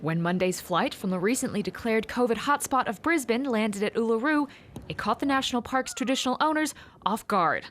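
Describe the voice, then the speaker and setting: A woman's voice: fairly high pitch, fairly bright tone. A woman reporter with an Australian accent narrating a scripted television news report.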